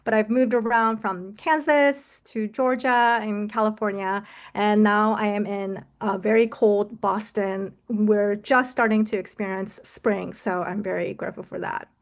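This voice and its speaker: A woman's voice: mid-range voice, steady tone, a little slow. A woman pastor, born in Korea, introducing herself casually on a video call panel with many fillers.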